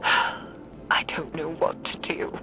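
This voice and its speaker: Woman acting a whispery, grief-worn older woman scientist. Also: lightly acted. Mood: numb grief. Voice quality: breathy, whispery. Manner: halting, weary, subdued. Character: grief-stricken, guilt-ridden aging woman scientist recording logs. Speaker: researcher recording audio logs. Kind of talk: video game audio log acting. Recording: music behind the voice.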